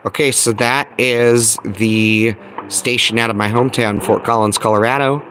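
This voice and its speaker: An American man giving a casual, unscripted demonstration as a hobbyist host, halting and thinking aloud while he talks into a phone. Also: mid-range voice, fairly even intonation, heavy background noise. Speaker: hobbyist radio video host. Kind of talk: casual rambling hands-on demonstration.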